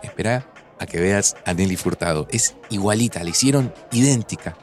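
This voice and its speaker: A man's voice: fairly low pitch, moderate pace, soft background music, lightly acted. An actor playing a nervous, smitten man in his forties, chatting casually and affectionately with an old friend in a Buenos Aires accent, in a fiction podcast. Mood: amused, chatty. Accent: Argentine, Buenos Aires. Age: 40s. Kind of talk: naturalistic fiction podcast dialogue.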